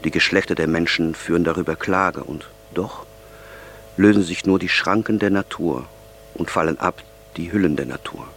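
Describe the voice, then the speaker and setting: An actor playing an earnest, solemn young man speaking deliberately in an audio drama. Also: deep voice, fairly bright tone, a little hiss or hum.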